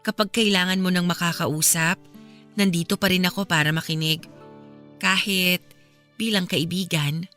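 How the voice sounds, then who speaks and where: An actress plays a tender, supportive young Filipino woman in a radio romance drama. Her voice sits a little low for a woman and she talks in an earnest, conversational way. Music plays faintly behind her. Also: steady tone, normal speed, pauses often, a bit bright, natural voice. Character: gentle, supportive young woman, the hero's ex-girlfriend.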